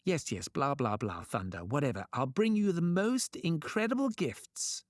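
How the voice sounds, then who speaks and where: Storybook trickster god: high, swooping, smarmy, mock-polite, always wheedling his way out. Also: huge pitch swings, a little slow, no room sound, clean studio sound, full character voice. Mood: smooth, flattering. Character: sly, smarmy trickster god of mischief, male.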